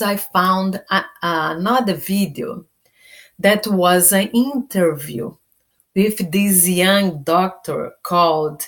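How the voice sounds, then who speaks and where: A woman from Brazil with a Brazilian Portuguese accent, a self awareness coach talking casually to camera. She speaks in an unhurried way, searching for words and restarting often. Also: medium pitch. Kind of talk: casual personal vlog.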